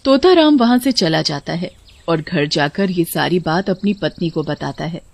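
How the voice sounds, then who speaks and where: A woman's voice: on the low side, lively intonation, normal speed, gravelly, no echo. Female cartoon narrator with dry sound. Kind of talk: scripted cartoon story narration.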